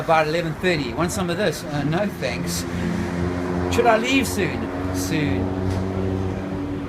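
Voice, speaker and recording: A man reading a chapter of his own novel aloud, in slow, brooding first-person narration with a few restarts. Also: medium pitch, noisy background.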